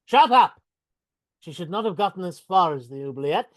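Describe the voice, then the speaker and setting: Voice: medium pitch, lively intonation, normal speed, lightly acted. A reader voicing a scornful, bossy goblin king in a live video-call table read.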